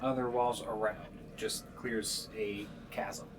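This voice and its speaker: A man running a tabletop game, improvising scene descriptions in a halting way as he searches for words. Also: on the low side, fairly even intonation, background noise. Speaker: game master.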